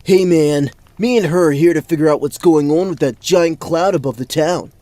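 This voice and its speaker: A man's voice: on the high side, moderate pace, warm tone, dry recording, close to an everyday voice. A man playing a cocky but clueless adventurer, boastful and earnest like a bro, in a fantasy audio drama. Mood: confrontational. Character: cocky, clueless young male adventurer and sword fighter.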